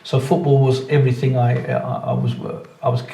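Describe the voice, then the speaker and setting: A man's voice: medium pitch, animated, fairly quick, warm tone. A man in his sixties, born in Pakistan and raised in England, a football club chairman answering interview questions earnestly, with frequent restarts and repeated words. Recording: roomy echo, mic at a distance.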